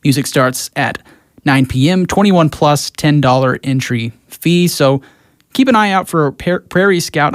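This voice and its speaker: A man's voice: medium pitch, no room sound. An American man presenting a local music show on the radio, speaking from a studio.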